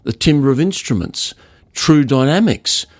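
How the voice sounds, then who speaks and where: A man's voice: medium pitch, lively intonation, unhurried, dry recording, clean studio sound. An older man telling stories in a conversational interview, speaking emphatically and repeating words to stress them. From Australia. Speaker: loudspeaker designer, podcast guest.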